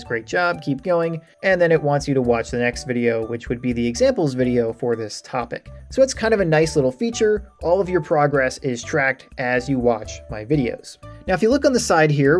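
A man talks through an on-screen tour in a casual, explanatory way. His voice sits at a medium pitch, with music playing behind it. He sounds like an online tutor showing viewers around step by step. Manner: informal, explanatory. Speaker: math tutorial creator giving a site tour. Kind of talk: casual screen-share walkthrough. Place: voice-over for a screen recording.

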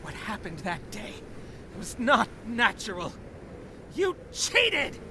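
An arrogant, hateful young noble from a fantasy game. He speaks slowly and theatrically, dripping contempt, with big swings in pitch. Faint ambient noise sits behind him. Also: very animated, sharp tone, exaggerated voice. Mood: bitter outrage. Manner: haughty, sneering, theatrical. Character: arrogant, hate-filled male rival mage, contemptuous young noble. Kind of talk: dramatic fantasy game voice acting.

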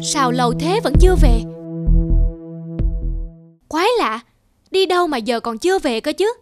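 High-pitched nagging peasant wife. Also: female voice, animated, unhurried, over loud music. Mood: worried, nagging. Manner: nagging, sarcastic. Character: scolding, exasperated peasant wife. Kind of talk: folktale cartoon dubbing.

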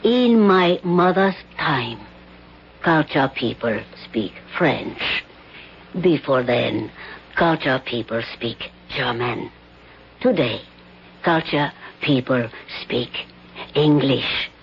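An actress playing a female palace servant and would-be assassin who speaks broken, halting English, in a 1970s radio drama. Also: low-pitched, a little hiss or hum, exaggerated voice. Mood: menacing. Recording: dull lo-fi 1970s radio broadcast.

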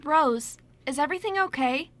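A woman's voice: sits high, lively intonation, moderate pace, a bit bright, no room sound. A woman playing a kind, encouraging fashion photographer, coaxing and reassuring, in an amateur radio play.

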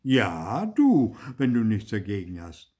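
A man voicing a gruff, stern farmer in a children's story, taunting with sarcastic mock politeness. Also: on the low side, huge pitch swings, slow, dark tone, full character voice. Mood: gloating. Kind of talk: dramatised children's storybook reading.

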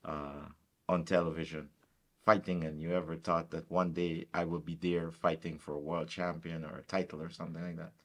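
A man's voice: deep voice, little pitch movement, moderate pace. A middle-aged man conducting a conversational sit-down sports interview, often repeating words for emphasis. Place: furnished indoor room, seated.

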